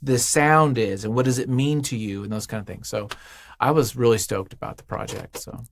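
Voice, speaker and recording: Man, interview guest, average pitch, casual, rambling storyteller, voices imagined quotes, dry recording. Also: normal speed, creaky, dry recording. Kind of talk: casual chat.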